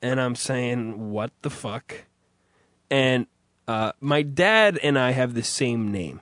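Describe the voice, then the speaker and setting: A man hosting a crude comedy podcast, raspy from a recent cough, cursing and rambling through stories. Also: mid-range voice, expressive, slow, fairly bright tone, dry recording. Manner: profane, rambling storyteller. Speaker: podcast host.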